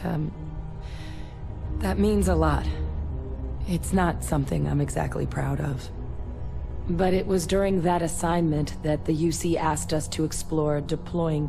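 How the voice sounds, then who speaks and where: An actress playing an earnest former military scientist in a sci-fi video game, speaking in a measured, explanatory way. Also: female voice, sits low. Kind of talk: video game dialogue acting.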